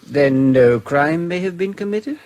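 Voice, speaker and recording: An actor playing an eager, deferential British detective's assistant in a radio drama, asking things respectfully and hesitantly. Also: male voice, a little high, lively intonation, measured pace, a little mellow. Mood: inquisitive.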